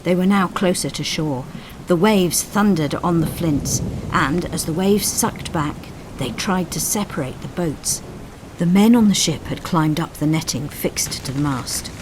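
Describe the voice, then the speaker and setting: A woman reading a scripted story in an expressive, suspenseful, dramatic storytelling style. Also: lowish voice, a little slow, noisy background. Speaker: story narrator. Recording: storm sound effects behind voice. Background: rain, thunder and storm effects.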